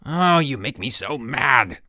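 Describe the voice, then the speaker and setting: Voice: huge pitch swings, normal speed, dry recording. A narrator voicing a whiny, hot-tempered tattletale boy at summer camp in a story reading, indignant and screechy. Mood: indignant, angry. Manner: shrill, screaming, indignant.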